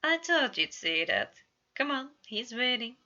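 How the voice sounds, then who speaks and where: A woman's voice: on the high side, very animated, measured pace, sharp tone, muffled recording, voice pushed up, heavily acted. A woman voicing a sly, knowing, serpent-like man who drawls, in a story reading. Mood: brisk, knowing.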